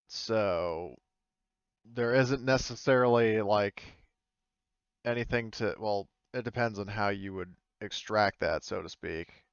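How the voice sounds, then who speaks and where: Low-voiced man running a tabletop game. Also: clean tone, no echo, highs cut off. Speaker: game master of a tabletop roleplaying game. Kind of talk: casual improvised tabletop game narration.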